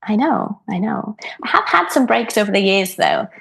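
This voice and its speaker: A woman's voice: mid-range voice, very animated, normal speed, vocal fry, fairly bright tone. A woman in her thirties, a harpist, talking reflectively about her career in a podcast interview, with frequent ums. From Wales. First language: Welsh. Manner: thoughtful, frequent ums and restarts. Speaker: guest harpist.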